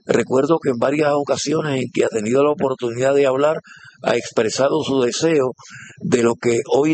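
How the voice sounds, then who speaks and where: A man's voice: mid-range voice, a little mellow, a little hiss or hum. A man with a Puerto Rican accent interviewing on site, friendly and enthusiastic, with many hesitant fillers. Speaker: interviewer, reporter.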